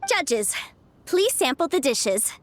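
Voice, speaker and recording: A woman's voice: high voice, sing-song, takes it slowly, full character voice. A woman voicing a formal, ceremonious contest announcer in a video game. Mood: official, upbeat. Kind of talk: video game character acting.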